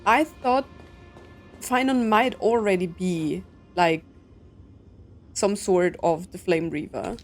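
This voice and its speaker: A woman's voice: mid-range voice, slow pace, pauses often, soft background music. A woman doing casual let's-play commentary, musing aloud in a conversational way as she plays. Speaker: gaming content creator.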